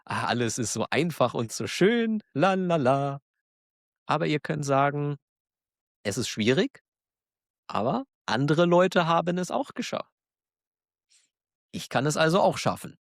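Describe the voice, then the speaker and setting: A man with a German accent, hosting a podcast for learners, talking slowly and clearly in a warm, encouraging way and laughing now and then. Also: on the high side, big pitch swings, no echo, studio quality. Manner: encouraging, self-deprecating, laughs often. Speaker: German teacher, podcast host. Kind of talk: slow, clear monologue for learners.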